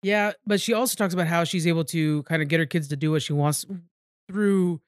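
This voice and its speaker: Guy podcast host chatting about tv, talks really fast, close dry sound. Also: on the high side, no echo. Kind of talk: casual TV recap chat.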